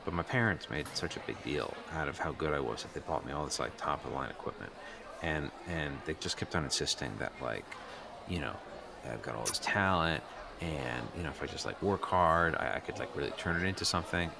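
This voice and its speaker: A man playing an earnest teenage new student in an improvised radio play, talking haltingly in casual teen slang. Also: rumbling low, lively intonation, creaky, noisy background, heavily acted. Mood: earnest, self-doubting.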